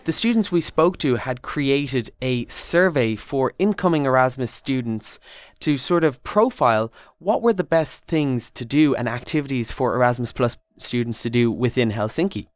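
A man's voice: mid-range voice, lively intonation, moderate pace, few pauses, no echo. A young man with an Irish accent, chatting casually as a trainee co-presenter on a radio show, hesitant with plenty of fillers and restarts.

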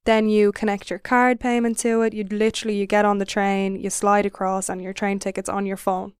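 A young Irish woman co-presents a casual radio chat. Her voice is clear and smooth, her manner brisk and practical. The studio is dry and close-miked. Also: medium pitch, level tone, dry recording. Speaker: trainee reporter and co-presenter.

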